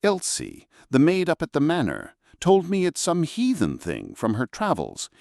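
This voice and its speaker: Man putting on a high-pitched older village gossip, hushed and conspiratorial, swooping voice, dry close sound. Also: huge pitch swings, normal speed, vocal fry, dry recording, heavily acted.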